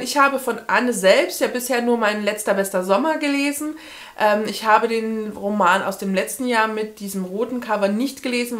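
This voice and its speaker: Female book vlogger, 30s, medium pitch, casual and chatty, thinks out loud with asides. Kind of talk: casual book review to camera. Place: small furnished room with bookshelves, indoors.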